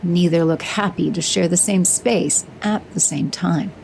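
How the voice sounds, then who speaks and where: A woman giving measured, scripted narration for an audio drama. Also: lowish voice, vocal fry, darkish tone, slight background noise, low-quality recording. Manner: measured storytelling.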